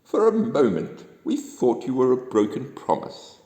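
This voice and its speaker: A hearty, reassuring man in the moon, put on by a male reader, with a New Zealand accent and a bouncing, animated pitch. He sounds like a storybook host in a reverberant room. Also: a little slow. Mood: welcoming. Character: kindly, brisk, hospitable man in the moon. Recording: echoey room with long reverb.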